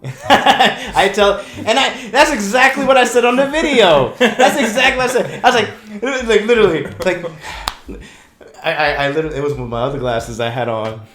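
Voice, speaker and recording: A man, a breakup coach, talking casually on a podcast, telling stories with lots of restarts and quick laughs. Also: sits high, echoey room, a little hiss or hum. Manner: animated storyteller, laughs often, rambling restarts.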